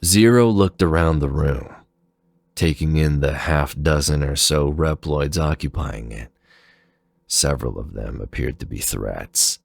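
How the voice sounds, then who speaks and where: Male narrator for dramatic fan fiction: creaky, close dry sound, deliberate and measured. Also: extremely low pitch, no echo.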